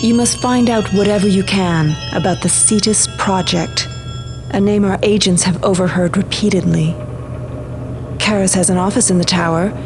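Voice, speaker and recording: An actress playing a solemn, commanding leader of secret agents in a video game, speaking in a measured, formal way. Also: female voice, mid-range voice, steady tone, close to an everyday voice. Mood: stern, commanding. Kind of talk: dramatic video game cutscene dialogue. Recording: background music under the voice.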